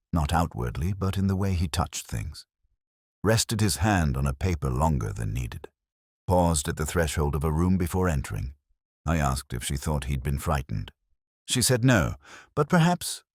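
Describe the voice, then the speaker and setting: Very deep-voiced man narrating a detective story. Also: dry recording, studio quality. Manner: measured, reflective, literary delivery. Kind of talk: scripted first-person detective story narration.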